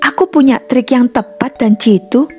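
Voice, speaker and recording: A determined old professor and grandfather from a children's storybook, voiced by a woman. The voice is on the high side. Music plays underneath. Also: normal speed, dark tone, close to an everyday voice. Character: elderly grandfather professor, determined pest-fighter. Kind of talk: storybook character voice. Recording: music behind the voice.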